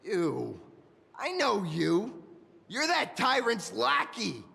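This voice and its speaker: High, creaky, bitter male rebel. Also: huge pitch swings, slow pace, bright, forceful tone, heavily acted. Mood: scornful. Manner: scornful, dramatic. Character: bitter, defiant male dissident scorning a tyrant. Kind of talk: fantasy video game voice acting. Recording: long reverb, music underneath.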